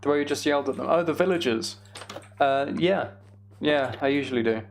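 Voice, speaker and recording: A man's voice: mid-range voice, fairly quick, gravelly, a little hiss or hum. A man giving casual, rambling gaming commentary to a live chat, with playfully exasperated banter. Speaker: game streamer hosting a live chat stream.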